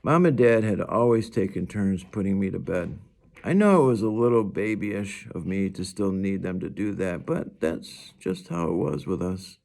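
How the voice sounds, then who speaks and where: Male read-aloud narrator for children's fiction, low register, gravelly fry. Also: lively intonation, moderate pace, darkish tone. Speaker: reader of a children's novel.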